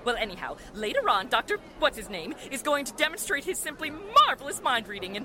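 Gushing, swooping high-voiced society matron. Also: female voice, big pitch swings, fairly quick, crowd noise. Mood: chattering, hostessy. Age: middle-aged. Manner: effusive, chattering, theatrical. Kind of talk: radio drama acting in 1940s manner.

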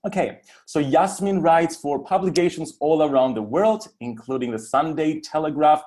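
A man's voice: fairly high pitch, normal speed, darkish tone. A man hosting a podcast, speaking articulately and addressing listeners directly as he introduces and runs an interview.

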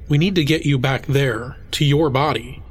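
A man's voice: mid-range voice, normal speed, slightly rough, natural voice. A man acting in an audio drama as an earnest, urgent time traveler. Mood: urgent concern.

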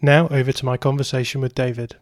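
A male host with a mid-pitched voice in a very dry recording. He speaks conversationally, as an interviewer putting questions to a guest. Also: little pitch movement, fast talker, no echo.

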